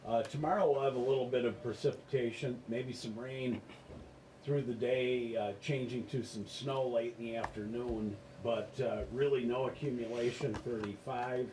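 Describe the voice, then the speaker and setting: A man's voice: mid-range voice, steady tone, moderate pace, mellow. Male local radio announcer, American, dry and laconic, recorded in an echoey, noisy room. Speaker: radio host and weather reader. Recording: echoey room with noticeable noise.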